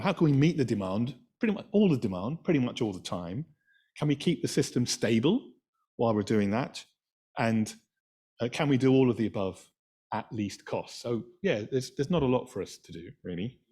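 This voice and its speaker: Scottish man giving a relaxed lecture. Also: lowish voice. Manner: chatty, full of fillers and restarts. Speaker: invited speaker, engineering professor. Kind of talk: conference talk with slides.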